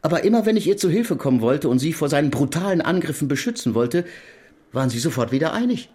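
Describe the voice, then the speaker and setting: An actor playing a bitter, accusing brother in a radio drama, formal, scornful and insistent. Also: male voice, a little high, very animated, fast, mellow. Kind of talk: German radio play acting.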